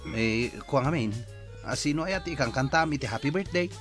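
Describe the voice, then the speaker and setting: A man's voice: medium pitch, animated, a little fast, a bit bright, soft background music, natural voice. A man with an Ilocano accent playing a drunk captain's exasperated companion in an audio drama.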